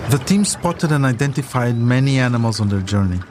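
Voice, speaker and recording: A man's voice: medium pitch, lively intonation, brisk, noisy background. A man delivering scripted documentary narration as a podcast narrator, telling a story in composed sentences.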